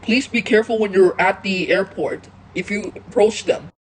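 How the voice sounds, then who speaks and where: A woman's voice: mid-range voice, normal speed, husky, poor audio. A woman announcing a made-up emergency alert in the manner of an amateur broadcast.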